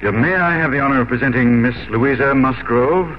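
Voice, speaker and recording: An actor playing a polite, formal naval captain with a courteous manner in 1950s radio drama. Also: male voice, medium pitch, a little fast, slight background noise, natural voice. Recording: dull old radio broadcast, loud music.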